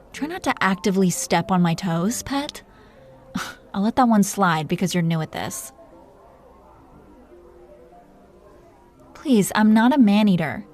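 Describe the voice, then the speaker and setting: A woman's voice: medium pitch, a little mellow, lightly acted. A woman voicing a seductive, possessive dragon queen in an intimate romantic roleplay audio, teasing and commanding as she flirts with pet names. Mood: mock-offended, flirtatious.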